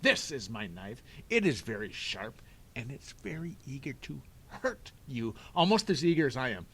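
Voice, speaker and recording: A man voicing a sneering, scheming villain who talks with mock politeness and drawn-out, theatrical menace. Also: mid-range voice, sing-song, fairly bright tone, slightly rough, heavily acted. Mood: menacing.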